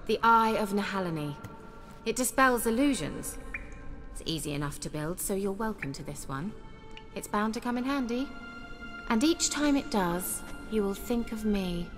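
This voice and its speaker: A woman's voice: medium pitch, animated, moderate pace, close to an everyday voice. A woman acting a self-assured, cultured sorceress in a video game, articulate and theatrical, with a slyly teasing, coy manner. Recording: long reverb, music behind the dialogue.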